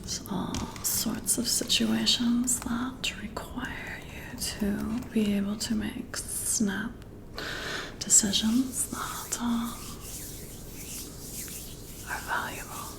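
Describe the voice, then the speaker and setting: Whispering, very creaky slow-talking woman. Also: fairly high pitch, level tone. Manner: slow, hushed, intimate, musing. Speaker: ASMR roleplay performer. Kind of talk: soft-spoken whispered ASMR, casual one-sided chat.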